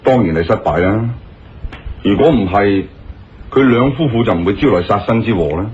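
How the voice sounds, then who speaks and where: An actor playing a stern, forceful police colonel in a Cantonese radio drama, blunt and demanding. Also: male voice, fairly low pitch, expressive, moderate pace, slight background noise, lightly acted. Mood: businesslike. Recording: dull lo-fi radio recording, loud music behind.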